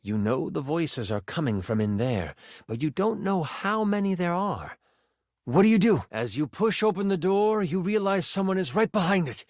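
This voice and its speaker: A man plays an animated game master narrating a fantasy adventure. His pitch sits a bit high and swings widely, with theatrical suspense, in a dry, close recording. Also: normal speed, no echo, natural voice. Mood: suspenseful. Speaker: voice actor. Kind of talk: dramatic tabletop game narration.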